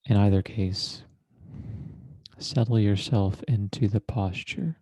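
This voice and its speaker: A man leading a guided meditation as a teacher, speaking in a deliberate, measured way with long pauses and formal, precise wording. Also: fairly low pitch, fairly even intonation, mellow, breathy edge, no room sound.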